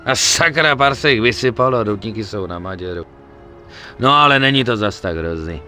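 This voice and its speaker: A male actor in a dubbed video game, playing an excitable, greedy gangster sidekick who swears and wheedles. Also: on the low side, faint music behind. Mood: flustered.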